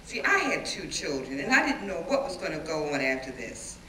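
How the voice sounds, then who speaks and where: A woman performing a dramatic stage reading as a defiant, plain-spoken schoolteacher recalling riots, with animated, emphatic storytelling and dramatic pauses. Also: sits low, distant mic, full character voice. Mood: plain-spoken reminiscing. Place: echoey indoor room, live reading before an audience. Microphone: distant microphone. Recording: roomy, noisy hall recording from afar.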